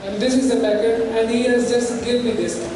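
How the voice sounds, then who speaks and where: A woman's voice: fairly high pitch, little pitch movement, a little fast, lightly acted. A young female performer playing a deferential, dutiful household servant in an amateur stage play. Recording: echoey hall, distant mic, noise.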